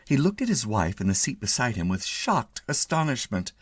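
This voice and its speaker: A man reading a comic short story dramatically, with theatrical, expressive delivery. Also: mid-range voice, moderate pace, breathy edge, dry recording. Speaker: story reader.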